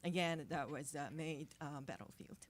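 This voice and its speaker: Japanese woman, 50s, non-native English, low-pitched, hesitant and soft-spoken at a press conference podium. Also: moderate pace. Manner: hesitant, many fillers and restarts, soft-spoken with nervous laughs. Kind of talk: unscripted press conference remarks. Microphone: podium microphone.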